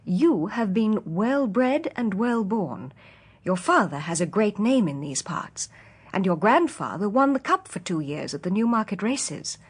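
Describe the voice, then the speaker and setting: A woman voicing a gentle, dignified mother horse who advises her young colt in a measured, earnest way. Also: mid-range voice, breathy edge.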